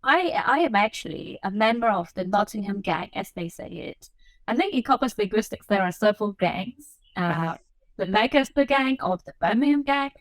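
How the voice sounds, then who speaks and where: A woman from Hong Kong talks as a podcast guest, thinking aloud and filling pauses with "like" and "um." Her recording is dry and close. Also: medium pitch, animated, no room sound, rough recording. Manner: reflective, frequent "like" and "um" fillers. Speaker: assistant professor, podcast guest. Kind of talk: conversational interview answers.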